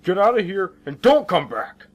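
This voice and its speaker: Man acting out a shouting, menacing policeman. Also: high voice, big pitch swings, normal speed, pitched above the performer's own voice. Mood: angry, commanding. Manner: shouted, commanding. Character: angry, menacing policeman barking orders.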